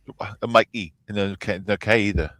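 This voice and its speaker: A man's voice: fairly low pitch, steady tone, brisk, a bit bright, no room sound. A man on a live stream panel, teasing the host and coaching his pronunciation. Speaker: panel guest. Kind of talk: casual live-stream chat.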